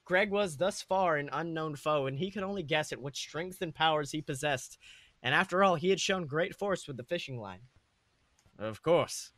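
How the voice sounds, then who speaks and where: Bright, higher-pitched man podcasting. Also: normal speed, no echo. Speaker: podcast co-host. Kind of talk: comedic fan fiction narration and casual chat.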